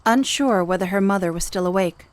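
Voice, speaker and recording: A woman's voice: mid-range voice, brisk, dry recording. A woman narrating a scripted dramatic story in an audio series. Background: music.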